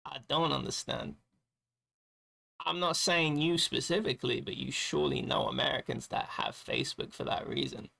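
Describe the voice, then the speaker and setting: A tired man streaming a game, chatting loosely with a raspy edge and casual swearing. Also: medium pitch, big pitch swings, moderate pace, sharp tone. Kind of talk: casual gaming stream chat.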